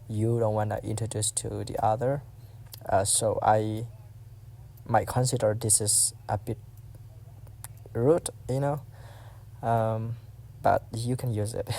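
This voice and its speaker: A man teaching a language lesson in a casual, patient way, slowly repeating phrases for learners to practice. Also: lowish voice, creaky, warm tone.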